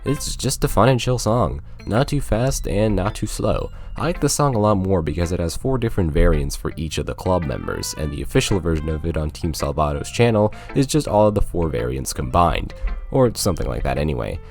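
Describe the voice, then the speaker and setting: Young male video essayist, deep register with expressive swings, informal and chatty scripted narration. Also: brisk, vocal fry. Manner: informal, conversational, slangy. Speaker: video game reviewer. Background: music.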